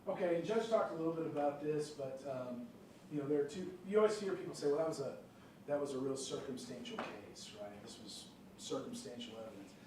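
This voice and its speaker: Guy talking to a jury, kinda high voice, keeps saying okay right, echoey room far from the mic. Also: fairly quick, darkish tone. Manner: conversational, many fillers and check-ins like "okay?". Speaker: prosecutor questioning jury panel. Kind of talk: explaining to a jury panel in court. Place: large courtroom. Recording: echoey courtroom picked up from far off, light noise.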